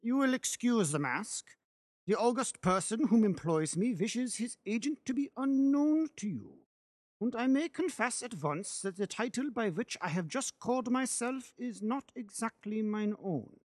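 A man's voice: extremely high pitch, animated, measured pace, a little mellow, no echo, voice pushed up, full character voice. A man reading a classic detective story, voicing a haughty disguised foreign king with a German accent, formal and grave. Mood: grave, secretive. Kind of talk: audiobook character voice.

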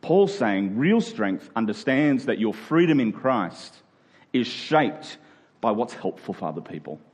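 A man's voice: mid-range voice, big pitch swings, measured pace, darkish tone. A man preaching a conversational sermon, emphatic and rhetorical, often voicing imagined objections.

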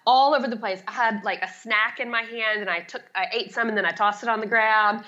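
A woman's voice: a little high, a little fast, bright, forceful tone, dull audio. A middle-aged woman talking casually as an expert guest in an interview, with a chatty, emphatic, self-deprecating way of explaining. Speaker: guest, home organizing expert.

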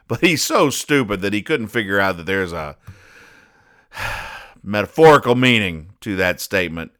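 This is a man with a fairly low, forceful and clean-sounding voice. He delivers comedic commentary as a podcast host. His manner is deadpan and mock-serious, with halting asides as he explains. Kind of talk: deadpan comedic commentary, recapping comic strips.

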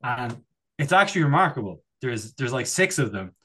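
A man's voice: medium pitch, very animated, normal speed, pushed and bright, slightly rough. A man chatting casually as a comedy podcast co-host, rambling and digressing with a jokey manner.

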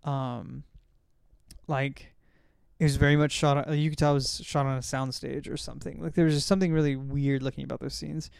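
A man's voice: mid-range voice, fairly even intonation, fairly quick, creaky, a little mellow, clear, resonant tone, dry recording, studio quality. A man hosting a casual film discussion, rambling and often correcting himself as he recalls details. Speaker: podcast host.

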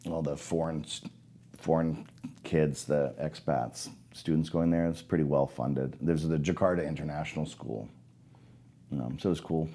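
A man's voice: extremely low pitch, steady tone, moderate pace, dark tone, husky. A man in his forties who grew up in Canada, chatting casually as a guest, rambling with many restarts and fillers. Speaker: guest photographer. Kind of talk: casual podcast conversation.

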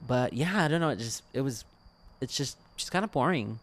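A man's voice: mid-range voice, animated, fairly quick, no room sound. A man chatting casually as a recap co-host, sassy and freely swearing, with slangy phrasing and bits of acted-out dialogue. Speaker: podcast co-host. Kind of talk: casual recap chat about a TV show.

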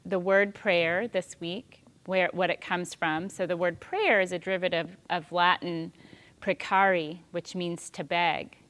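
A woman in her thirties shares a reflective spiritual talk, thoughtful and unhurried. Her voice sits somewhat low. She pauses softly as she gathers her thoughts. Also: steady tone. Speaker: speaker on prayer and spirituality.

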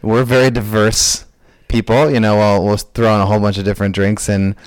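Lower-voiced man in a dry room. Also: fairly quick, smooth, no room sound. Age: 20s. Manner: relaxed, joking, easygoing. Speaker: podcast co-host. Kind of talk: casual podcast chat.

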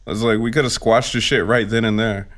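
A man with a fairly low voice hosts a loose, casual conversation. He laughs a lot, swears freely and teases the people he talks with. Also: quick pace. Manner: laughs often, swears freely, lively and teasing. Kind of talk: casual chat.

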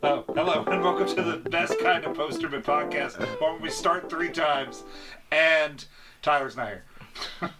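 A man's voice: a little high, measured pace, over loud music. A man hosting a podcast in casual banter, joking and prompting the others. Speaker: podcast host.

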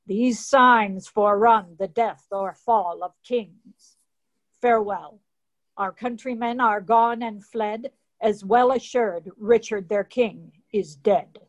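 A woman's voice: medium pitch, takes it slowly, highs cut off. A woman reading the part of a male Welsh captain, grim and foreboding. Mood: grave, foreboding. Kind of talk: reading a play aloud.

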